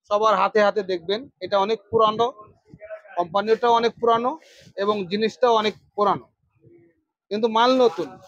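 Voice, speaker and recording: A man's voice: sits high, dry recording, muffled recording. A man with a Bangladeshi accent presenting shop products to viewers, repeating phrases for emphasis. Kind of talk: product demonstration sales pitch.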